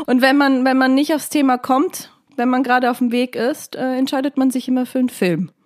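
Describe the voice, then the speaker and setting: Giggly woman podcaster, higher voice, slangy and playful, just chatting. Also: fairly even intonation, a little fast, darkish tone. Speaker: podcast co-host. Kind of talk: casual comedic chat.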